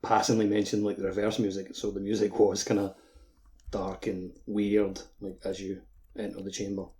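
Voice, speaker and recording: A man's voice: lowish voice, monotone, normal speed, mellow. A man co-hosting a podcast, chatting casually about video games. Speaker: podcast co-host.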